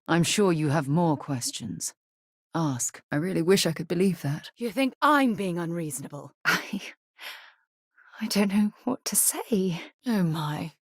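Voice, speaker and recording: An actress voicing a composed, principled woman who leads space explorers in a video game, speaking in a measured, formal and earnest way. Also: fairly low pitch, darkish tone, breathy edge, dry recording, clean studio sound. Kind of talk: game voice lines.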